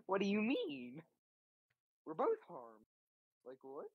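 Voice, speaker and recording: Husky, animated gamer on dull audio. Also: mid-range voice, takes it slowly, dry recording. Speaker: roleplay game player. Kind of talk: casual gaming chat. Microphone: voice call. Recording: dull, dry voice-chat audio.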